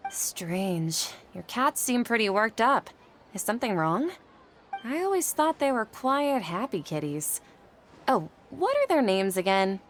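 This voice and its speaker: A woman's voice: on the high side, very animated, measured pace, a little hiss or hum. A woman voicing an earnest, self-doubting young woman in a video game, polite and a little hesitant. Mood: puzzled. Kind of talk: video game character acting.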